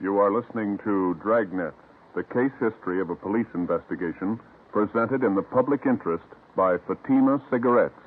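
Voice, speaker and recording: An American man announcing a 1950s radio programme in a formal, measured delivery. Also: on the low side, faint music behind. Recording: narrow-band old radio broadcast.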